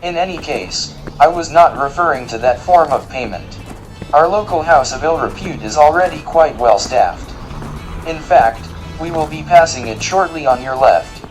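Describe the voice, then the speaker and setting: A man playing a polite, literal-minded robot guide in an audio drama, speaking in a formal, precise and deadpan way. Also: a little high, moderate pace, faint music behind, close to an everyday voice, poor audio.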